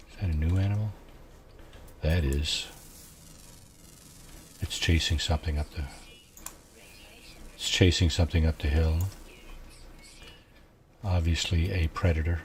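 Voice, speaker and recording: A soft-spoken man with a very deep voice narrates his gameplay slowly, often pausing on fillers as he thinks aloud. He speaks quietly into a desk mic, with faint game noise and typing behind him. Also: fairly even intonation. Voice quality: low, soft voice. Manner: quiet and unhurried, musing aloud with many fillers. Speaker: gameplay streamer. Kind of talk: casual let's-play commentary.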